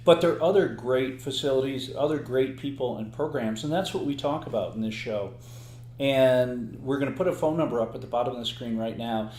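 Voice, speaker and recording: A man's voice: medium pitch, darkish tone, echoey room, slight background noise. A man hosting a recovery podcast, chatting casually and playfully with a co-host and joking often. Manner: playful, quick with jokes and laughs.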